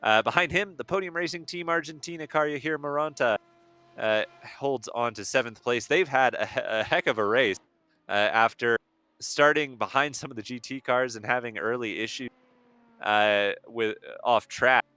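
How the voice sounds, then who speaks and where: A male commentator on a live sim racing stream, friendly and a little halting as he reads out the standings. His voice sounds bright and forward, and it comes through smooth and crisp. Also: mid-range voice, dry recording. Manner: friendly, laughs easily, halting with fillers. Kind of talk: live race commentary and chat.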